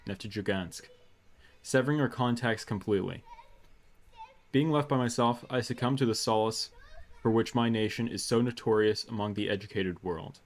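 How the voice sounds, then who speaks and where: A man doing low-key, casual game commentary and reading text aloud, sometimes halting over unfamiliar words. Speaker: gaming video host.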